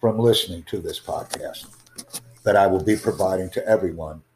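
A man hosting an exam-prep podcast, teaching in a slow, formal, deliberate way and asking rhetorical questions. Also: low-pitched, a little mellow, a little hiss or hum, poor audio. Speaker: IELTS tutor and podcast host.

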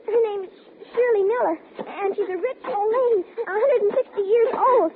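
An earnest young American girl in a 1950s radio play, desperate to be believed. Her voice is extremely high, and the recording is thin, tinny and heavy with noise. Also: normal speed. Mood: excited. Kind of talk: 1950s radio drama acting.

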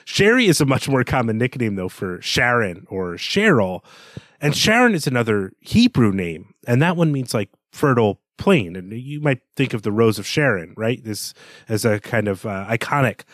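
A man's voice: mid-range voice, big pitch swings, moderate pace, fairly bright tone, no echo. Wry man discussing books, dry recording. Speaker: podcast co-host. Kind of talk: conversational literary discussion.

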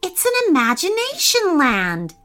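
Woman voicing a high-pitched, timid storybook boy. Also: huge pitch swings, slow, exaggerated voice. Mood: amazed. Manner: soft-hearted, easily hurt. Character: timid, apologetic, tearful boy in children's story. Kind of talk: children's storybook character voice.

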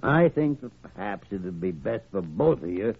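Cranky old radio-drama doctor, swooping voice. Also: male voice, fairly low pitch, sing-song, normal speed, gravelly, husky, heavily acted. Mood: gruffly fatherly. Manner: blustering, crotchety, fatherly. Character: gruff, cranky old senior doctor with a soft heart. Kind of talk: 1940s radio drama acting. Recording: vintage radio recording, narrow band.

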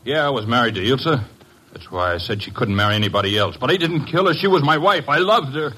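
Husky, cornered radio killer. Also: male voice, mid-range voice, animated, fast, sharp tone, low-quality recording. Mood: defensive, rattled. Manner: blunt, plain-spoken, pleading. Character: cornered, lovesick male murderer, bitter and defensive. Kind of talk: 1950s radio drama acting.

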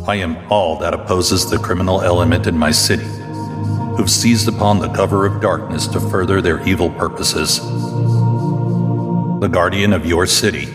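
An actor playing a brooding masked vigilante who delivers a solemn eulogy in a grave, measured audio drama style. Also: male voice, sits low, close to an everyday voice. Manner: grave, slow, measured oratory. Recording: long hall reverb under loud music.

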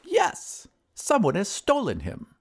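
An insistent storybook wizard voiced by a male narrator, always driving a bargain. The pitch is lifted and swings widely, with emphatic, stretched-out phrasing. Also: huge pitch swings, takes it slowly, gravelly, a little hiss or hum, full character voice. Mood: distressed, demanding.

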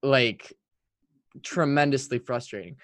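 A man's voice: medium pitch, a little slow, fairly bright tone, no room sound. A French-speaking man co-hosting a casual sports podcast, talking in an emphatic, hyperbolic way. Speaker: hockey podcast co-host.

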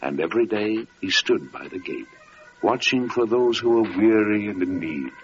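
Grave storyteller played by a man for a radio legend: deepish, literary, dramatic, with dated dull recording. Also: expressive, slight background noise, lightly acted. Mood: solemn, compassionate. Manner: solemn, dramatic, measured literary phrasing. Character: solemn storyteller narrating a biblical legend. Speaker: narrator. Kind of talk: 1940s-style radio drama narration, elevated prose.

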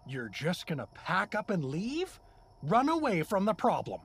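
A man's voice: sits high, huge pitch swings, unhurried, slight background noise, full character voice. An actor playing a dopey, middle-aged everyman dad in a comedic audio drama, sarcastic, flustered and blustering. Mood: challenging. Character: dopey, indignant everyman dad, a wisecracking prisoner.

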